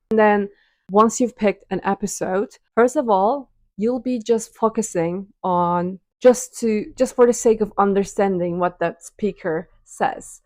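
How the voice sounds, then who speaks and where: A woman's voice: mid-range voice, darkish tone, no room sound. Turkish-accented woman vlogger, dry sound. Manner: chatty, restarts phrases while explaining. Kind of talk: casual explainer to camera.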